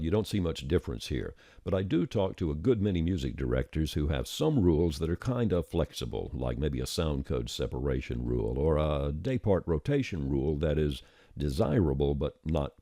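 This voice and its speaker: A man with an extremely low, rumbling voice presents a software tutorial. His delivery is mellow yet lively in intonation, with folksy, informal turns of phrase. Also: creaky, no room sound, studio quality. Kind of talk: scripted software tutorial narration.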